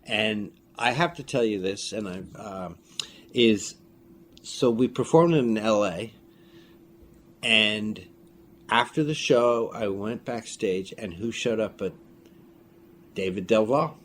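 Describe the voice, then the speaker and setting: Low-voiced man telling rambling stories. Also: takes it slowly, long pauses, a bit bright. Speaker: filmmaker and audio drama creator being interviewed. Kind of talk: casual interview anecdote. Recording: noisy room ambience.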